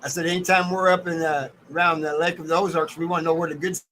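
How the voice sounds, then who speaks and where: Casting: American male livestream host, folksy and colloquial, very bright forward voice, some background noise. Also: on the high side, level tone, fast talker. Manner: folksy, colloquial, addresses viewers by name. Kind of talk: casual livestream chat with viewers.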